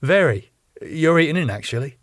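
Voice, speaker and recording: A man's voice: a little high, lively intonation, normal speed, fairly bright tone, dry recording, pitched above the performer's own voice. Guy playing an awkward evasive young manager, lots of uhs, slightly raised voice, dry. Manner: hesitant, full of uhs.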